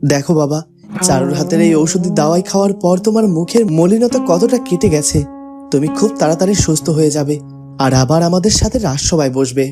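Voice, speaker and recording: A man's voice: on the high side, animated, a little fast, lightly acted. A man voicing a young prince in a fairytale cartoon, an earnest, caring son with a reassuring manner. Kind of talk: cartoon character dialogue. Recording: loud music bed behind the voice.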